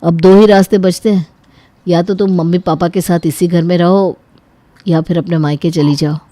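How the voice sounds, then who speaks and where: A woman voicing a worried, practical young husband who sounds resigned, in an animated moral story. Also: on the low side, moderate pace, no room sound, close to an everyday voice. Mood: troubled.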